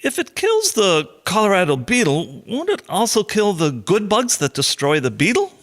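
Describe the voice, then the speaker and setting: Man acting high, animated sceptical farmer. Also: normal speed, exaggerated voice. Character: sceptical male farmer with eight acres planted. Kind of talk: amateur historical drama.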